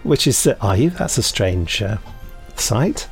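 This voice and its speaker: A British man hosts a casual podcast at a middling pitch with some vocal fry. He rambles and digresses, poking dry fun at himself. Faint music sits behind him. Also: sing-song, measured pace. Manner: self-mocking, digressive, dryly jokey. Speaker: podcast host and audio drama maker. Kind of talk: casual chat, rambling anecdotes.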